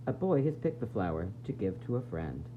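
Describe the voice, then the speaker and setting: A man reading a picture book aloud as a preschool teacher, expressive and explaining things directly to young children. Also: lowish voice, fairly quick. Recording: tinny phone-like audio with faint noise.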